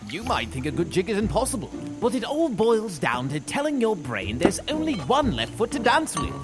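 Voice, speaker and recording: A man's voice: high voice, wildly swooping pitch, moderate pace. An actor playing an eccentric, absent-minded male wizard mentor in a fantasy audio drama, with theatrical, cheerful delivery. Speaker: Magus Elgar. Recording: music behind voice.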